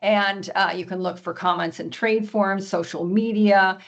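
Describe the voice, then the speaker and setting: A hoarse woman giving a webinar presentation over a video call, often clearing her throat and using many fillers. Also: medium pitch, fairly even intonation, moderate pace, dull audio. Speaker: export presenter.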